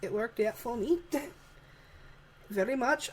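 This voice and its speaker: Woman, soft chatty voice-over, a bit hoarse, faint hiss. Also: a little high, takes it slowly. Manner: soft-spoken, chatty, laughs often. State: losing her voice. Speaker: video creator narrating a game build. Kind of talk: casual voice-over chat. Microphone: home desk microphone, moved closer partway.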